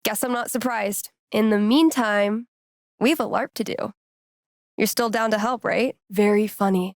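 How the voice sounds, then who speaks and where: An actress as a wry, unhurried young American woman. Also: mid-range voice, no echo, clean studio sound, lightly acted. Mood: teasing. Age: young adult. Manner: casual, teasing, deadpan. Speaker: video game voice actress. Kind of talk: naturalistic game dialogue.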